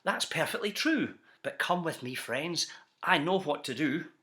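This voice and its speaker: A man's voice: fairly high pitch, lively intonation, a little slow, sharp tone. A man voicing a sly, slick travelling salesman in a storybook, with keen, persuasive patter. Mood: sly coaxing.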